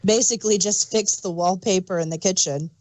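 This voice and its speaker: A woman's voice: fairly low pitch, brisk, darkish tone, no room sound, rough recording. A woman co-hosting a review podcast in a casual, conversational style. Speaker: podcast co-host reviewing audio dramas.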